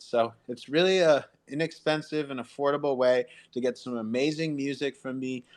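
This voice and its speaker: A man hosting a promotional livestream as a music artist, talking in a hyped, salesy way straight to his listeners. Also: medium pitch. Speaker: music artist and radio host.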